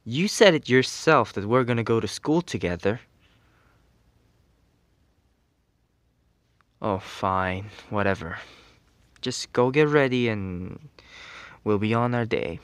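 Man acting a slow-talking, grumpy tsundere schoolboy. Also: fairly low pitch, expressive, clean tone, no echo. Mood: impatient, grumbling. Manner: exasperated, whiny scolding with sighs. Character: grumpy, teasing tsundere teenage boy best friend. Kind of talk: ASMR roleplay.

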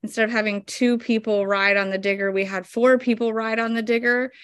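A woman's voice: fairly high pitch, fairly even intonation, fairly quick, clean tone, no echo. A woman in her thirties, a flower farmer guest explaining her work step by step with lots of fillers, over a video call from home. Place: home room indoors. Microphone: video call webcam.

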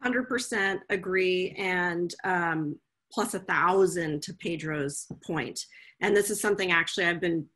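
A woman's voice: on the low side, measured pace, a bit bright. A woman guest speaking thoughtfully and casually over a video call from home. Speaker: guest, legal professional. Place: home room, indoors.

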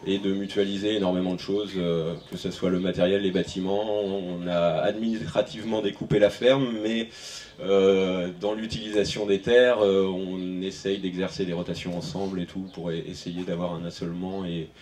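A man's voice: sits low, level tone, normal speed, darkish tone, slight background noise. A man in his thirties from western France, a farmer answering questions on a panel, hesitant with many fillers and restarts, speaking into a handheld mic in a large tent. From France (Maine-et-Loire). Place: large tent at an outdoor event. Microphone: handheld microphone.

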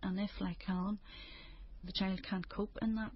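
Northern irish woman chatting personally, kinda husky, sound a bit muffled. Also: medium pitch, level tone, normal speed. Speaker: parent in a recorded conversation. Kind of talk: intimate personal conversation.

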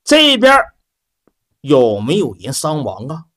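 A man's voice: medium pitch, wildly swooping pitch, slow, pitched below the performer's own voice. A man voicing a stern young male heir who demands answers and curses. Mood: insistent.

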